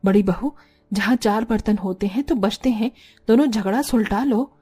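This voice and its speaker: A woman's voice: medium pitch, normal speed, dark tone, slightly rough, close to an everyday voice. A woman voicing an older mother-in-law, a homely, concerned family matriarch in a cartoon story. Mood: admonishing. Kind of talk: Hindi cartoon story acting.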